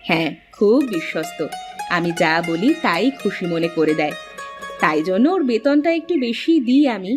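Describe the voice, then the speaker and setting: A woman's voice: lowish voice, expressive, normal speed, lightly acted. Woman doing bossy kind auntie running the house, cartoon, very up and down, music behind. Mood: matter-of-fact. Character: bossy but kindly aunt, mistress of the house. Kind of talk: cartoon folktale dialogue. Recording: prominent music under the voice.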